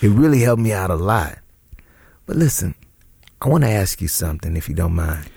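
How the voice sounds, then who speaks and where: A man's voice: low-pitched, big pitch swings, brisk, gravelly, a little mellow, husky, exaggerated voice. An actor playing an eager young man with street slang, curious and earnest. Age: young adult. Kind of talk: audio drama acting.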